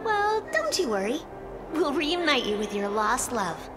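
A woman's voice: high-pitched, big pitch swings, unhurried. A woman playing a sweet, caring young female hedgehog in a video game, speaking earnestly and expressively. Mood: earnest, tender. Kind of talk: video game cutscene acting. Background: loud game music.

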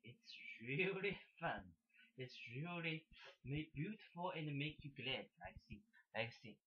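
A young man from Shanghai, a native Mandarin speaker, rambles casually in halting, repetitive broken English with a Chinese accent. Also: a little high, animated, slightly rough. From Shanghai, China. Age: young adult. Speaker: amateur painter demonstrating his work. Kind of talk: casual rambling demonstration to camera. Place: small indoor room. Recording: dull lo-fi webcam sound.

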